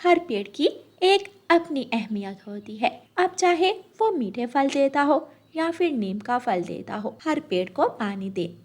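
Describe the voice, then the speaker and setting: A woman's voice: high voice, very animated, measured pace, a little mellow. A woman narrating a scripted children's story as the storyteller.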